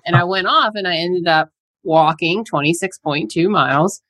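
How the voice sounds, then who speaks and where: A woman chatting casually, an animated storyteller who laughs easily and acts out what people said. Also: lowish voice, moderate pace, no echo. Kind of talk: casual chat, anecdote.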